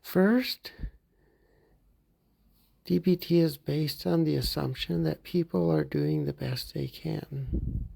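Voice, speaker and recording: A man leading a guided mindfulness meditation for a therapy group, speaking calmly and gently and sometimes dropping into soft whispers. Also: a little high, creaky. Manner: calm, gentle, unhurried, slips into soft whispers.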